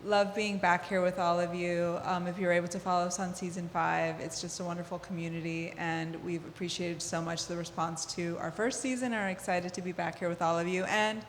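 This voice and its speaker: A woman hosting a community radio interview, speaking warmly and with enthusiasm, with some "um"s. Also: fairly low pitch, steady tone, brisk, few pauses, long reverb tail, slight background noise. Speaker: host.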